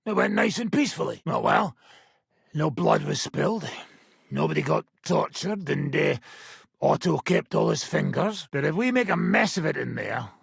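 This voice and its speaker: A very hoarse, heavily gravelled medieval mercenary boss from a video game. He is gruff, sardonic and blunt, giving orders with a pitch that moves about freely. The sound is close and dry. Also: big pitch swings, moderate pace, dry recording, heavily acted. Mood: matter-of-fact. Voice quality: gravelly, raspy. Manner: blunt, sardonic, commanding, swearing. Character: gruff, foul-mouthed ageing medieval mercenary band leader.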